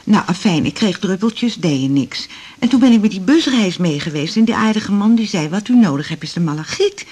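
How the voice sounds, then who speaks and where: Chatty, naive woman patient, radio drama: husky, animated intonation, rambling, mildly complaining. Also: medium pitch, fairly quick. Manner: rambling, matter-of-fact complaining.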